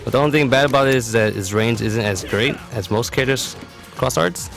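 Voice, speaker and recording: A man's voice: mid-range voice, fairly quick, gravelly. A man giving explanatory video game tutorial commentary in a casual, matter-of-fact way. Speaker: competitive gamer presenting a character guide. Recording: voice-over with music behind. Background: game music.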